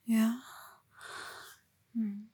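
A woman speaking in a soft, breathy whisper for an intimate audio roleplay, murmuring slowly and coaxingly close to the listener. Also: level tone, no echo. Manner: low murmured coaxing with sighs.

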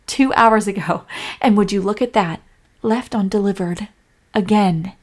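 A woman's voice: mid-range voice, unhurried, lightly acted. A woman acting a hurt, loving girlfriend in a romantic audio roleplay, speaking emotionally and directly to her partner. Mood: hurt, accusing. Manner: emotional, swinging from sharp reproach to tender whispers. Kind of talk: scripted romantic audio roleplay, addressing the listener.

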